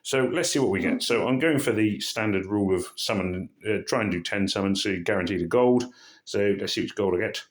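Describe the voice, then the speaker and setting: A man with a hoarse, croaky voice, worn down by a cold. His voice sits a little low, and he rambles through casual, self-deprecating commentary as he plays a mobile game. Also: brisk. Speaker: game channel host.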